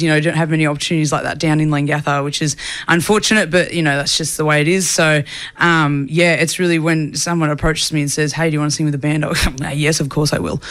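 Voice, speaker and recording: A woman's voice: low voice, fairly bright tone. A young woman from country Australia, a singer answering interview questions in a chatty way, full of fillers. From rural Australia. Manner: chatty, many fillers and restarts.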